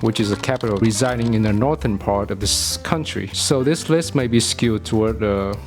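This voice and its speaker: A young man with a Vietnamese accent talks through his restaurant picks in a low, measured voice. He sounds earnest and a little formal, with the odd blunt aside. Quiet guitar music plays faintly underneath. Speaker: food reviewer. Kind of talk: scripted video narration.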